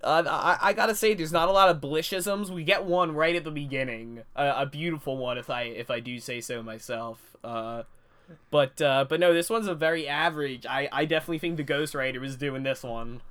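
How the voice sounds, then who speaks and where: A man's voice: on the high side, a little fast, a bit bright, smooth. A young adult man co-hosting a podcast, nasal and congested from a cold, chatting casually and laughing often. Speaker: podcast co-host. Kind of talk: casual chat.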